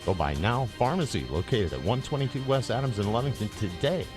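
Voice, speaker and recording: A man's voice: mid-range voice, animated, brisk. A man reading a scripted promotional advertisement as a voice-over. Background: music bed.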